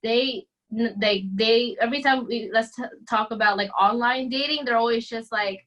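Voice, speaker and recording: A woman's voice: a little high, normal speed, a bit bright, dull audio. A woman hosting a podcast, chatting casually and hesitantly, with frequent fillers and restarts. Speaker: podcast host. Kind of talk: casual chat.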